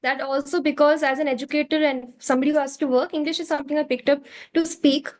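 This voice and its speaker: A woman's voice: high-pitched, fairly even intonation, fast talker. A woman from South India with an Indian accent, a native Hindi speaker, answering interview questions earnestly and often restating her points. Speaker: curriculum developer, interview guest.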